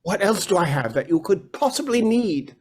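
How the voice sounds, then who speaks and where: A man's voice: high voice, sing-song, normal speed, husky, exaggerated voice. A man acting a harried, henpecked lord and father in a fairy-tale stage play, flustered and theatrical. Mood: pleading.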